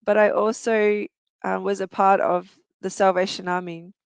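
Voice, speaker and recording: Australian woman in her 40s, reflective guest storyteller, smooth low-ish voice, dry close sound. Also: little pitch movement, normal speed, no echo. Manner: earnest and reflective, with some fillers. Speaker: guest, youth worker and researcher. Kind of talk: personal storytelling in a talk show.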